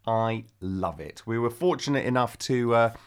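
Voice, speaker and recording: A man in his forties, a podcast co-host, telling an animated story in casual chat and acting out what other people said. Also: medium pitch, moderate pace, clear, resonant tone.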